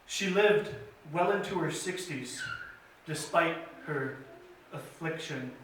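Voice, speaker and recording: A man tells a story at church story time with an earnest, measured delivery, pausing now and then. His voice is bright and fairly high, caught by a distant mic in a very echoey hall with faint room noise behind him. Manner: earnest, measured telling with pauses and fillers. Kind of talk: children's story time retelling. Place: church sanctuary.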